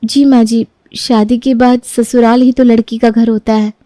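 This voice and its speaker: A woman's voice: fairly high pitch, flat delivery, normal speed, dark tone, no echo, natural voice. A woman voicing a sensible, dutiful young bride who speaks politely and respectfully.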